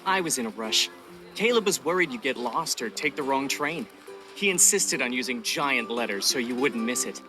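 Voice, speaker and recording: A man's voice: mid-range voice, animated, moderate pace, close to an everyday voice. An actor playing a friendly, talkative young male pilot colleague, slightly awkward and eager, in a video game. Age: young adult. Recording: game audio with music behind. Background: music.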